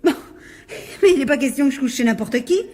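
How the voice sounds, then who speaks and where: An actress playing a bossy, practical young woman in a radio mystery drama, teasing and sarcastic in a brisk, colloquial way. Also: sits high, expressive, mellow. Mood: resolute, exasperated.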